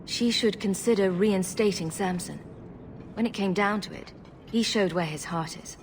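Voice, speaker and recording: A woman playing a calm, resolute champion in a fantasy game, composed and diplomatic. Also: mid-range voice, normal speed, slight background noise, natural voice. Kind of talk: fantasy video game acting.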